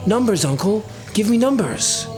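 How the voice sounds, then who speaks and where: A man's voice: high voice, lively intonation, moderate pace, music in the background, voice pushed up. A man voicing a moody eighteen-year-old son who is sarcastic, righteous and insistent, in a dramatic audiobook. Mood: taunting, angry. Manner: mocking, insistent.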